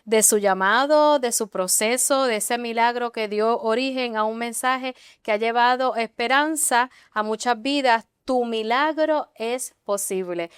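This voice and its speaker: Very clear-voiced woman pastor hosting. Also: fairly high pitch, a little slow, few pauses, no echo, studio quality. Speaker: pastor and co-host. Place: TV studio.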